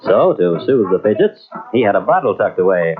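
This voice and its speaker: A male narrator telling an old radio mystery in a measured, wry way. His voice sits somewhat low. The audio is tinny and narrow, like a radio broadcast copied onto an old cassette. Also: sing-song, loud music behind the voice. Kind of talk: scripted narration.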